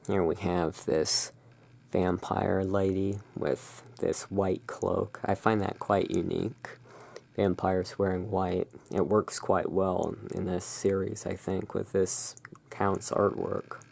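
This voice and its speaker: A person playing the soft-spoken, musing owner of a gothic curiosity emporium in a halting, hushed ASMR roleplay. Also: low voice, level tone, gravelly, exaggerated voice. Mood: musing, relaxed.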